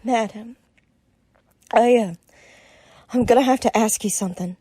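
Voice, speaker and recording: Woman playing a slow, pleading frontier townswoman. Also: on the high side, warm tone, husky, no echo. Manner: halting, pleading. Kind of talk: Western radio drama acting.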